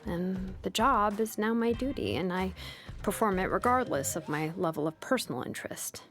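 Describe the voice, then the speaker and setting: A woman voicing a laid-back, sleepy young female blacksmith and name engraver from a video game, languid and nonchalant with soft hesitations. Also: mid-range voice, lively intonation, moderate pace, natural voice. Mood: matter-of-fact. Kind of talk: video game character voice lines. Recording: music behind the voice. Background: music.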